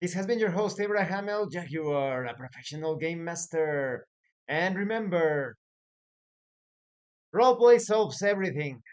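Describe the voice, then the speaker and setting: Man with a higher-pitched voice, emphatic delivery. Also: expressive, normal speed, clear, resonant tone, dull audio. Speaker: host, professional game master. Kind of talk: talking-head advice on tabletop gaming.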